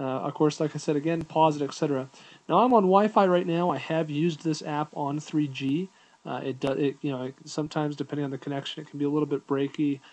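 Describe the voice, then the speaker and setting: A man's voice: a little high, rough recording. A man giving a casual, unscripted app walkthrough, explaining things in an informal, easygoing way as a reviewer.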